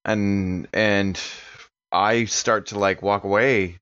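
A man's voice: on the low side, steady tone, slow, fairly bright tone, clean tone, dry recording, lo-fi sound. An American man chatting casually as a player in a tabletop roleplaying game.

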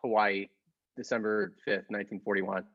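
A man's voice: on the low side, little pitch movement, normal speed, muffled recording. A man setting up and playing improvised comedy scenes in a casual style.

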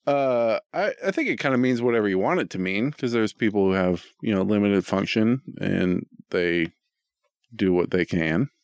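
A man with a fairly low voice co-hosts a podcast, recorded dry with little room sound. He chats casually and jokes around, irreverent and free with swearing. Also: moderate pace, clear, resonant tone, dry recording. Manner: profane, irreverent. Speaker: podcast co-host reading listener questions. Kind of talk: casual joking banter.

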